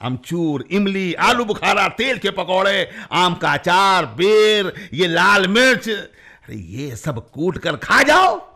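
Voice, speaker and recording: An actor playing a mocking, sarcastic friend in a Hindi radio play. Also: male voice, high voice, big pitch swings, slow, bright, forceful tone, exaggerated voice. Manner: sarcastic, teasing. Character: mocking, sarcastic male friend with gloomy warnings.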